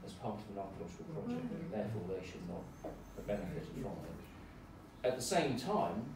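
A man giving measured, opinionated remarks as a panel speaker. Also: on the low side, big pitch swings. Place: lecture room, indoors. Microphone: far camera in the audience. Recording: echoey lecture room, distant mic, noisy.

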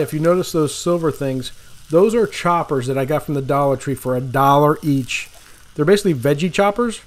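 Rambling man narrating an outdoor cookout. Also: fairly high pitch. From Florida. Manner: relaxed, rambling, self-correcting, chuckles at mistakes. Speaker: cooking channel host. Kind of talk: casual cooking demonstration. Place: outdoors by a lake at a griddle. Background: sizzling food on a griddle.

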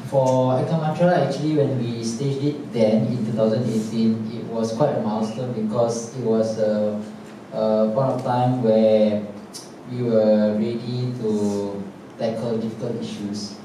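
A man from a theatre company speaking on a panel in a measured, hesitant way. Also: lowish voice, level tone, mellow, a little hiss or hum. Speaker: theatre company member and actor. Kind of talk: casual panel chat. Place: indoor theatre stage. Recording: echoey theatre, distant mic.

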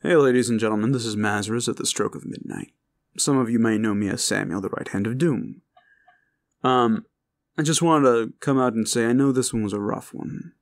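A man's voice: mid-range voice, fairly quick. A man speaking informally and earnestly to his listeners as an audio creator, in a reassuring way. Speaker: audio roleplay creator and host. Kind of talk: informal heartfelt address to listeners.

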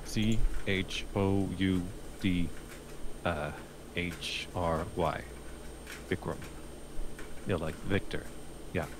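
A low-pitched male detective played by a man puts terse questions in a film script table read. He is businesslike, and the line is clearly noisy. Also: fairly even intonation, extremely slow, pauses often.

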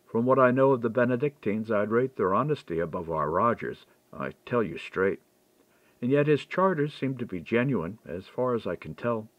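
A man's voice: mid-range voice, animated, moderate pace, no room sound, natural voice. A man reading audiobook dialogue as a candid, shrewd clerk who was once a monk. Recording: dull, lo-fi dry recording.

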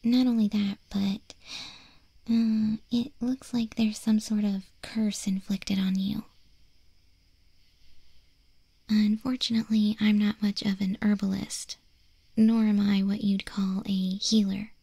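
A young wolf girl, played by a woman, speaks softly in an ASMR roleplay. Her voice is mellow and breathy, often close to a whisper, and she takes her time. She sounds caring and a little teasing, very near and dry on the microphone. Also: medium pitch, flat delivery, no echo. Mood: concerned. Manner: soft, breathy half-whisper, gentle and unhurried. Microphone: close, dry studio microphone.

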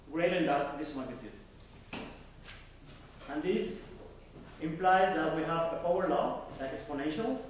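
A young man giving an academic conference presentation, halting and searching for words, often restarting his sentences. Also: on the high side, a little mellow. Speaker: conference speaker. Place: conference hall. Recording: echoey hall from far off with faint noise.

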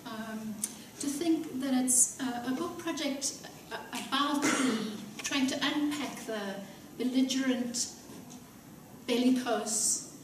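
A middle-aged woman giving an academic talk, soft-spoken and hesitant, with many pauses and fillers. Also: high voice. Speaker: guest lecturer. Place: lecture room. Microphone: lectern mic, filmed by far camera. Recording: echoey room from far off, hiss.

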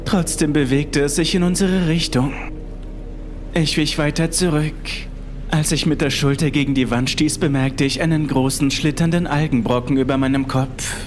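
Guy narrating a horror story in first person, slightly high voice, music playing behind him. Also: fairly even intonation, moderate pace.

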